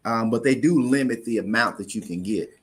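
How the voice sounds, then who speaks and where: A man's voice: mid-range voice, fairly quick. Earnest man explaining insurance plainly. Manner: earnest, explanatory, repeats words when restarting. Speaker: insurance investment guest. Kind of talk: conversational interview explaining.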